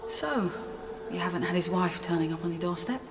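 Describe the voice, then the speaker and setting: A woman's voice: fairly low pitch, animated, a little fast. A woman acting in a radio drama as a sceptical, protective friend with a dry, pointed way of talking. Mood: challenging, protective. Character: sceptical, protective, blunt-spoken female friend.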